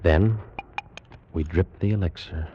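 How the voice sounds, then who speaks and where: Very deep-voiced ancient Egyptian priest. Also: male voice, measured pace, slight background noise. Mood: solemn, assured. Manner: grave, formal, theatrical delivery. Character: proud, fervent ancient Egyptian priest and alchemist. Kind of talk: 1940s radio drama acting. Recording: muffled old-time radio recording.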